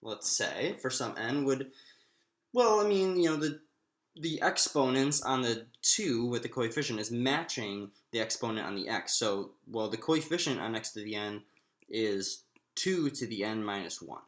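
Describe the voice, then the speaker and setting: A man giving an informal, step-by-step maths lesson, thinking aloud in a halting way and often restating himself. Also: medium pitch, animated. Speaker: maths lecturer. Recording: echoey room.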